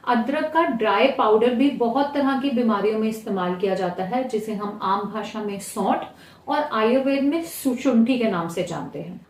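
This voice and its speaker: A woman with an Indian accent presents an explanatory home-remedy lesson as a health presenter, instructional and pausing to gloss terms. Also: on the high side. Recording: roomy echo, distant mic, faint noise.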